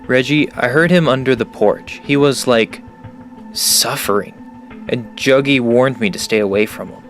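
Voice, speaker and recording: An actor playing an earnest, nervous teenage boy in an audio drama, speaking in a halting, stammering way. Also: mid-range voice, a bit bright, lightly acted. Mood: uneasy. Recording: music under the voice. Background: prominent music.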